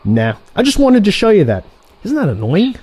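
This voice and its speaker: A cocky, ruthless assassin in a comic audio play, acted by a man, full of petty irritation. The voice sits high for a man and jumps around animatedly as he complains. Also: wildly swooping pitch, normal speed, darkish tone, husky, full character voice. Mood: exasperated.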